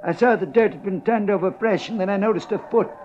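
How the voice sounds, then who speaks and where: Actor as folksy old caretaker, noisy vintage radio. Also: male voice, sits high, quick pace, lo-fi sound, natural voice. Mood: earnest, recounting. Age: older. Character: foolish, cheerful old cemetery caretaker, sly and deferential. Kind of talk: 1950s radio drama acting.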